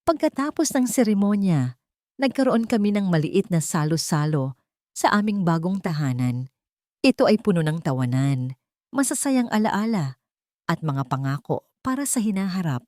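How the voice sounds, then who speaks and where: A woman's voice: on the low side, very animated, moderate pace, darkish tone, no room sound, studio quality. A woman reading a scripted first-person romance story as a dramatic narrator.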